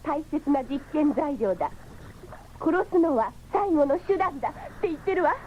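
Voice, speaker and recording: A woman's voice: sits high, lively intonation, normal speed. A woman playing an alert young female cyborg scout in a 1970s radio drama, with dramatic, feminine phrasing. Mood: tense. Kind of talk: 1970s anime-style radio drama acting. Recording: dull, noisy 1979 radio recording.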